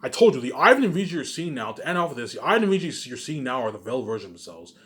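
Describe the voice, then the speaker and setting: A man's voice: medium pitch, sing-song, very fast, fairly bright tone. A man rambling casually in a monologue, boastful and emphatic, repeating himself and asking questions that he answers himself. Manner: boastful, profane. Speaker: vlogger.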